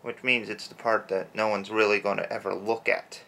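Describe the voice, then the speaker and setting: A man with a lowish voice talks softly while painting a toy at his desk. He wanders from thought to thought, with plenty of fillers. A slight roughness sits in his throat from allergies. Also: little pitch movement, normal speed. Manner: soft-spoken, rambling with fillers. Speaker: toy customizer. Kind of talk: casual hobby narration while painting.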